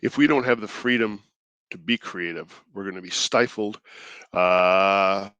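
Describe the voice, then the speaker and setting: A man with a stuffed-up cold voice, hosting his own channel in casual, halting commentary, mild-mannered and thinking aloud. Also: sits low, sharp tone, smooth, no room sound, highs cut off. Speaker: indie creator and channel host.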